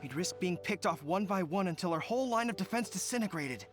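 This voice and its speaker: A young military general from a video game. His voice sits high for a man and moves with lively inflection. He is earnest and dutiful, speaking in orderly, formal military terms. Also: a little fast, over music. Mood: earnest, deliberating. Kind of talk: acted game dialogue.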